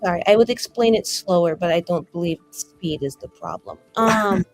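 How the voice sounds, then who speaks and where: A woman voicing a coy, evasive mage with a wry, drawn-out way of answering, in a tabletop roleplay. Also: lowish voice, animated. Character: evasive, coy, gifted female mage playwright. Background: music.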